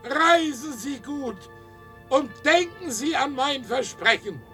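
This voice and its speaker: A man putting on a high old man's farewell call. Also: animated, slow pace, sharp tone, soft background music, exaggerated voice. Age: older. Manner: high, strained, calling out. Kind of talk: 1950s radio drama acting.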